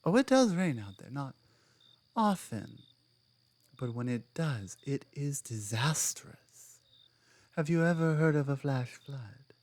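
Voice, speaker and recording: A woman playing a strong, proud, stubborn female orc in an ASMR roleplay, speaking earnestly and firmly with deliberate pauses. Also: extremely low pitch, sing-song, darkish tone, no room sound, heavily acted. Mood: somber, reflective. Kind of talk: ASMR audio roleplay, one-sided dialogue.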